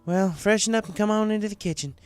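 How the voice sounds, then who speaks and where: A man's voice: high voice, fast, darkish tone, smooth, faint music behind, pitched above the performer's own voice, natural voice. A man voicing a friendly, hospitable young farm pony mare with a folksy, plain-spoken manner, in a cartoon fan story. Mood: warm, folksy.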